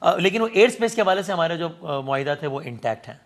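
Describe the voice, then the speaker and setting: A man's voice: a little high, lively intonation, fast talker. A man with a Pakistani accent hosting a political interview, probing and interjecting in a conversational way with English mixed in. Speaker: TV news interviewer.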